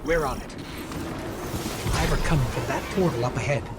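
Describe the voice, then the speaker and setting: A man's voice: medium pitch, normal speed, close to an everyday voice. A man voicing a small, loyal robot companion in a video game, eager, inquisitive and earnest as he reports. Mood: alert. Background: loud dramatic music.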